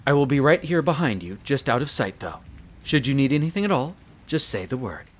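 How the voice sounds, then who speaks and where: A man plays a male wolf butler in an audio roleplay. He speaks formally, courteous and attentive, deferring to those he serves in a mid-pitched voice. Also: big pitch swings, a little fast. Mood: reassuring, deferential.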